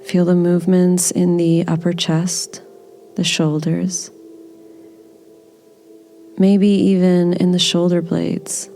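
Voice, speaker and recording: A woman leading a guided body scan meditation in a gentle, soothing, unhurried manner. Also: lowish voice, vocal fry.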